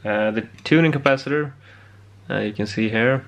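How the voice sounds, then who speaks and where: A man giving a casual hands-on demonstration as a hobbyist, often pausing mid-phrase. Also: medium pitch, creaky. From Sweden. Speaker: amateur radio hobbyist presenter. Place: indoor home electronics workbench.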